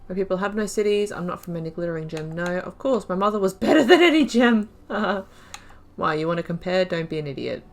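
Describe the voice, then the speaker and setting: A woman's voice: on the low side, lively intonation, brisk, vocal fry. A woman giving casual, chatty commentary on her video game playthrough, giggling and muttering asides. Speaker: gaming YouTuber. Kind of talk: casual let's-play commentary.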